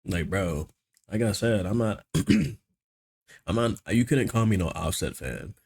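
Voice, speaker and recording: A man's voice: low-pitched, measured pace, darkish tone, breathy edge. An American man hosting a casual reaction commentary, talking in slang with a skeptical, critical manner. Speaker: reaction video host.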